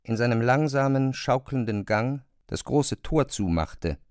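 A man narrating a literary audio drama, with measured, deliberate phrasing. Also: mid-range voice, lively intonation, a little mellow, smooth, dry recording, clean studio sound.

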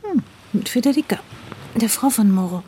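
An actress playing a well-informed commissario's wife in a radio drama, confiding dryly in a husky, breathy, creaky voice. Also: female voice, fairly high pitch, lively intonation, normal speed, dark tone. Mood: helpful. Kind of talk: naturalistic radio drama dialogue.

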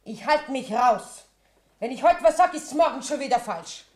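A woman's voice: high voice, animated, moderate pace, a little mellow, reverberant. A woman playing a guarded, chatty Bavarian tavern landlady in a German radio play. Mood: defensive. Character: Bavarian tavern landlady, cautious, keeping out of quarrels.

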